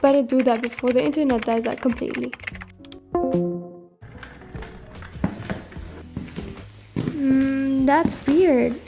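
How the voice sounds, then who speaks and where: Casting: woman as geeky teen girl, high-pitched, conversational, for audio drama. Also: fairly even intonation, normal speed, vocal fry, music in the background. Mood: mildly puzzled. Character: nerdy, cocky teenage girl chatting with online friend.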